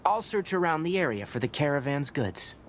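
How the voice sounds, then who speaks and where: An actor playing a calm, scholarly young forest ranger in a video game, measured and patient as he explains. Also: on the high side, a little hiss or hum. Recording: game audio with clear ambience.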